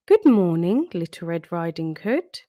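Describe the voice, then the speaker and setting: A woman's voice: fairly low pitch, big pitch swings, a little slow, mellow, no echo, studio quality. Woman voicing a sly, coaxing storybook wolf. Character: cunning wicked male wolf, greedy, sly sweet-talker. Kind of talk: storybook read-aloud character.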